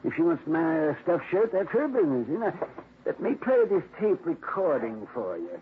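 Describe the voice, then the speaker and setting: An actor playing a fussy, excitable man in a 1950s radio drama. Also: fairly high pitch, brisk, background noise. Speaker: dog owner reporting a theft. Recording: tinny 1950s radio broadcast.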